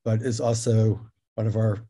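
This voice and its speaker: A man hosting an informal interview conversation, speaking a little haltingly as he thinks aloud. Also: fairly low pitch, level tone, warm tone, breathy edge. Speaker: host and interviewer. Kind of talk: informal moderated video conversation.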